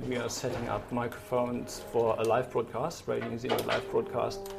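A man with a mid-pitched voice explains technical work in an informal interview. He speaks haltingly, thinking aloud as he works. Loud music from practising orchestra players runs behind him in a large hall. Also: completely flat delivery, gravelly. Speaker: radio sound engineer. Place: large town hall concert venue.

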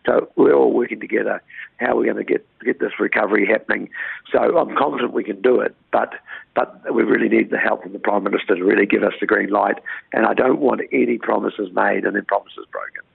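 Croaky New Zealand man on phone. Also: on the low side, steady tone, fairly quick, no room sound. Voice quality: croaky, gravelly voice. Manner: earnest, rambling, repeats and restarts words. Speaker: town mayor interviewed by phone. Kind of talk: phone interview. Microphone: phone line. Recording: tinny phone line, dry.

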